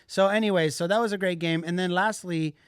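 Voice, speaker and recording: A man co-hosting a soccer podcast in casual chat, excitable and repetitive, dropping Spanish words into his English. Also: sits high, brisk, smooth, no echo, studio quality. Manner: excitable, repeats himself, mixes Spanish into English. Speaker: podcast co-host.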